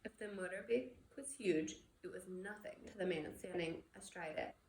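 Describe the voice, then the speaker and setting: A woman reading a novel aloud as expressive scripted storybook narration. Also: fairly low pitch, normal speed. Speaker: storybook reader. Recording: echoey room with background noise.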